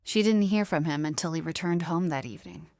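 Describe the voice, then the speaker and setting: A woman narrator delivering scripted romantic drama in a measured, emotive storytelling manner. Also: sits low, darkish tone.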